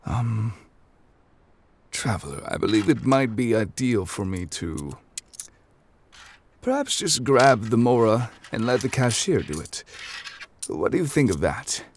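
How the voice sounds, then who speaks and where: A man voicing a calm, scholarly, absent-minded ancient god in an ASMR audio roleplay. He speaks close to the microphone in a formal, measured, old-fashioned way and sometimes drops to near whispers. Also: medium pitch, slight background noise. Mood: gently amused.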